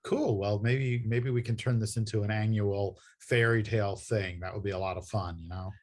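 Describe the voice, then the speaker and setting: A man's voice: lowish voice, steady tone, moderate pace. A man hosting a casual promotional interview, speaking in an affable, chatty way. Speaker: interview host for a comics publisher.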